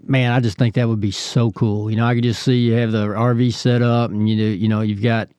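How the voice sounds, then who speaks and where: A man co-hosting a music podcast in a warm, mellow voice at a middle pitch. He rambles and backs up over his words in an easy, halting way. The voice sounds dry and close to the mic. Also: flat delivery, smooth, no echo. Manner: rambling, halting, full of restarts. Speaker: podcast co-host. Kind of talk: casual podcast chat. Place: home recording studio.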